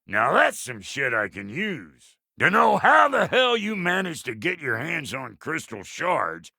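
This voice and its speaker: A gravelly, cantankerous dwarf blacksmith from a video game, drawling in a Southern American accent with an unhurried pace. The pitch swings wildly, and the delivery is crude, folksy and blunt, with a good heart underneath. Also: male voice, wildly swooping pitch, pushed and bright, no echo, studio quality, heavily acted. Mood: blunt, matter-of-fact. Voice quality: gravelly, rough-edged. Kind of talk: video game character acting.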